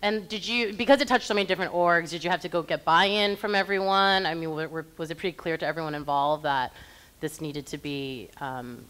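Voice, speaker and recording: A woman's voice: deep voice, brisk, a bit bright. A woman moderating a live panel discussion in an informal, encouraging way.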